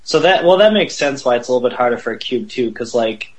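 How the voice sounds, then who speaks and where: A man's voice: medium pitch, animated, quick pace. A man from the US Midwest chatting enthusiastically and in a friendly way on a podcast. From Milwaukee, US Midwest. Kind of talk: casual podcast chat.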